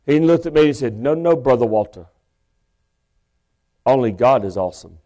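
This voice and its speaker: A man, preaching a sermon from a church pulpit microphone in a big, loud voice with folksy asides. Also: medium pitch, expressive, normal speed. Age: 50s. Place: church sanctuary.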